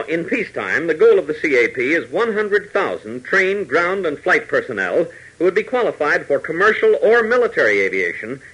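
A man's voice: fairly high pitch, sharp tone, breathy edge, poor audio. An American man narrating a 1940s radio adventure serial in a dramatic, emphatic announcer style.

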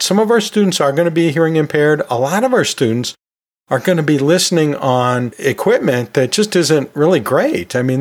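American man, conversational podcast host. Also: medium pitch. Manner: folksy, chatty, lightly self-deprecating. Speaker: podcast host and college instructor. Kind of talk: informal, conversational podcast monologue giving teaching tips.

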